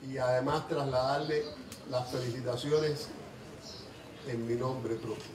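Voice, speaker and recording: A man who is an ambassador and a native Spanish speaker, giving a courteous formal greeting and pausing for an interpreter. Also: mid-range voice, steady tone, over a noisy crowd, very noisy. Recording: echoey room from far off.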